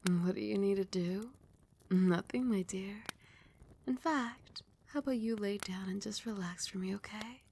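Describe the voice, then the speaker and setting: Soft, breathy vampire queen, played by a woman. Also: medium pitch, slight background noise, natural voice. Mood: doting, soothing. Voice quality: soft, breathy, often whispered. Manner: slow, soothing, cooing endearments. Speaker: ASMR roleplay voice actor. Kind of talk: soft whispered ASMR roleplay.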